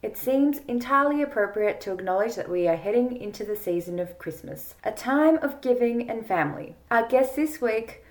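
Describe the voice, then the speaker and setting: A woman's voice: fairly high pitch, expressive, moderate pace, few pauses. A woman hosting a podcast, reading a scripted introduction and sponsor message, then asking interview questions. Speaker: podcast host.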